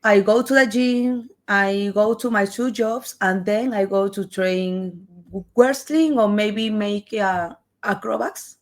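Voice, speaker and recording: A woman in her twenties from Peru with a Peruvian Spanish accent, Spanish-native, answering interview questions haltingly and with frequent laughs. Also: mid-range voice. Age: 20s. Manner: halting, searching for words, laughs often. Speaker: wrestler guest.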